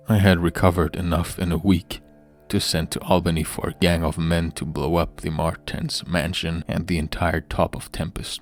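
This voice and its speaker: Male horror narrator, slow measured pace, creaky low register, grave theatrical storytelling, soft music underneath. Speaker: audiobook narrator. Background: background music.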